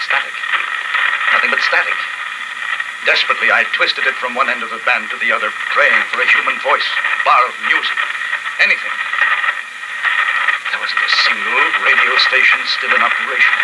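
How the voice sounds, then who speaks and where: An actor playing a haunted, desperate lone survivor, narrating dramatically and haltingly in a 1950s-style radio drama. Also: male voice, medium pitch, very noisy, lightly acted. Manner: dramatic, halting, hushed to urgent. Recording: old radio broadcast, heavy music bed. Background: loud dramatic music.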